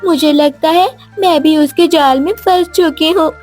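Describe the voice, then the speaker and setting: A woman voicing an innocent, timid young girl who speaks in an earnest, pleading way in an animated children's story. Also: high voice, unhurried, faint music behind. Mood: earnest, worried.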